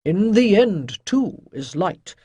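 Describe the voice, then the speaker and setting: A narrator acts out an elderly male priest from a fantasy tale. He teaches calmly and chides gently in a husky voice, pausing for weight and moving expressively up and down in pitch. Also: no room sound, full character voice. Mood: uplifting. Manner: measured, declamatory, pausing for weight. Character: wise old male priest, calm gently chiding teacher.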